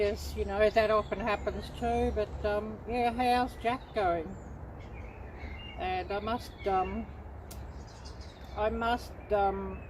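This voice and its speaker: A middle-aged woman from Australia hosting a casual livestream, chatting unhurriedly to viewers with many pauses and ums. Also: medium pitch, background noise. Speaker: livestream host.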